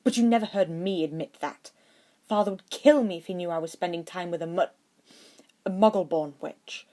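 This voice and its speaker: A woman's voice: lowish voice, moderate pace, warm tone, lightly acted. A woman voicing a snide, haughty schoolboy wizard who complains with snooty emphasis, in a storybook reading. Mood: haughty, reluctant.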